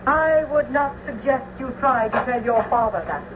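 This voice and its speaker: A woman's voice: sits high, normal speed, close to an everyday voice. A woman playing a stately, middle-aged noblewoman and mother in a classical tragedy, formal and declamatory. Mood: brisk, firm. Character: stately, commanding noblewoman mother of a grown daughter. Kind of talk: classical tragedy radio acting. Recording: muffled old radio with faint noise.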